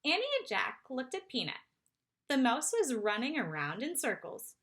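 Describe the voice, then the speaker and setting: A woman narrating a children's chapter book aloud in an expressive storytelling style. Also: high-pitched, moderate pace. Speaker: storybook reader.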